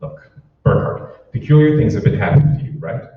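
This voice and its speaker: A man's voice: medium pitch, animated, a little fast, lightly acted. A man playing an anxious, furtive conspirator in an old-time radio drama, with a hesitant, secretive delivery. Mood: urgent, conspiratorial. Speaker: amateur stage actor. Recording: roomy, distant, dull hall recording with hiss.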